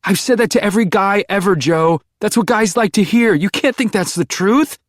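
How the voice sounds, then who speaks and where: A man's voice: high voice, normal speed, no room sound, clean studio sound, pitched above the performer's own voice, close to an everyday voice. A man doing a frantic self-loathing young woman. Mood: pleading.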